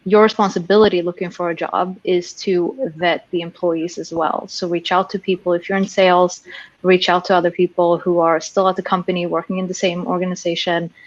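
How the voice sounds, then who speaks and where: A woman from Sweden speaks frankly on a casual panel, stumbling and restarting now and then. Her voice sits low. The recording is dry and slightly dull, as if the highs were trimmed. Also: dry recording. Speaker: sales professional, panelist.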